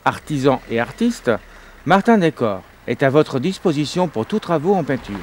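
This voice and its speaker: A man presenting a local craftsman and asking him interview questions in a clear presenting tone. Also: on the high side, big pitch swings, normal speed, a little mellow, slight background noise.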